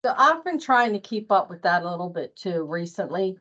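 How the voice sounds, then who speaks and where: A woman with a slightly low voice leads part of a workplace training talk. Her delivery is informal and instructional. The sound is dull and lo-fi. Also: sing-song, fairly quick, fairly bright tone. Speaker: training presenter. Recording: dull lo-fi meeting audio.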